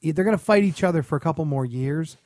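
Man, somewhat high voice, podcast co-host, casual chat, opinionated, dry recording. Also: quick pace, a little mellow, dry recording.

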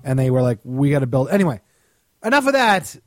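A man's voice: medium pitch, sing-song, fairly quick, no room sound, studio quality. A man giving casual comedic podcast commentary as a guest co-host, sardonic and opinionated.

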